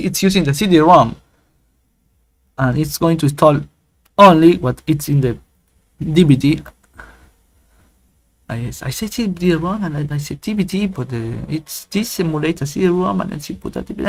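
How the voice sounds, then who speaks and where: A man giving a casual, rambling software tutorial, joking and humming tunes as he goes. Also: fairly high pitch. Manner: playful, rambling, hums and sings tunes while waiting. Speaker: tech YouTuber. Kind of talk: casual screen-recorded tutorial.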